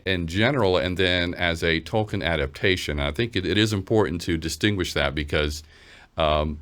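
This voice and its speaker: A man hosting a casual interview, asking questions in a halting, rambling way. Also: low voice, fairly bright tone. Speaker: interview host.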